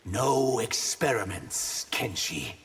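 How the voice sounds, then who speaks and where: An actor playing an earnest, wary young reptilian shapeshifter warrior in video game dialogue. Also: male voice, lowish voice, animated, slow pace. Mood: grave, resolute. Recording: reverberant game arena, noisy background.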